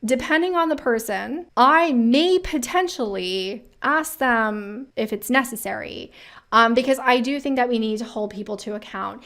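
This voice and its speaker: A woman giving casual, opinionated commentary as a career advice host, talking in an animated, emphatic way with rhetorical questions. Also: a little high, moderate pace.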